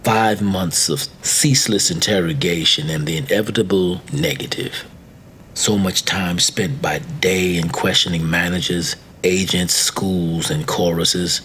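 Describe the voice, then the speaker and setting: A man with a low voice narrates a classic macabre story. His delivery is theatrical and deliberately phrased, in the manner of dramatic literary reading, over faint background noise. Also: a bit bright. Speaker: story reader.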